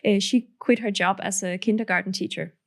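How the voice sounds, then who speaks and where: A woman's voice: medium pitch, steady tone, fairly quick, vocal fry. A woman from Finland with a Finnish accent, talking about her business as a podcast guest, hesitant with many fillers and restarts. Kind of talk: casual interview.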